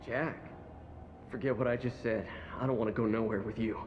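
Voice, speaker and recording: An actor playing a jittery, street-tough convict in a video game, talking in offhand slang. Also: male voice, medium pitch, fairly even intonation, moderate pace, dull audio, soft background music, close to an everyday voice. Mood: offhand, wary.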